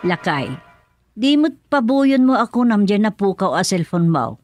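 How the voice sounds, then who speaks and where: A woman's voice: medium pitch, big pitch swings, normal speed, a little mellow, soft background music, close to an everyday voice. A woman acting a bossy middle-aged village wife and mother in an Ilocano radio comedy drama, scolding and exclaiming. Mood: coaxing.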